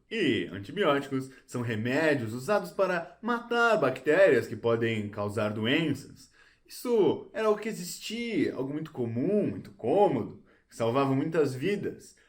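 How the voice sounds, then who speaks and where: Man playing a wry, animated explainer. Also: mid-range voice, natural voice. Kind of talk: audio drama acting.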